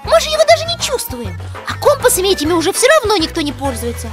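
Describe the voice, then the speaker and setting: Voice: very high voice, huge pitch swings, quick pace, exaggerated voice. A performer playing a curious, earnest young animal child in a cartoon, easily puzzled and full of questions. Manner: inquisitive, earnest. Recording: music playing loudly behind.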